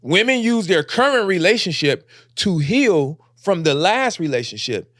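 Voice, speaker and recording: A man's voice: high-pitched, very animated, measured pace, a bit bright, no echo, clean studio sound. A man on a podcast making provocative, declarative points in casual talk and repeating them for emphasis. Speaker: podcast host. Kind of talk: casual opinionated podcast commentary.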